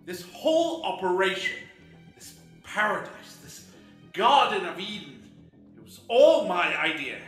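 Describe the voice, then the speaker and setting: A man acts out a boastful, gloating villain scientist in an audio drama. His pompous voice is bright and forceful, swinging widely in pitch, in a roomy echo. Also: big pitch swings, measured pace, heavily acted. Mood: grandiose. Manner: pompous, monologuing. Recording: roomy echo with music behind.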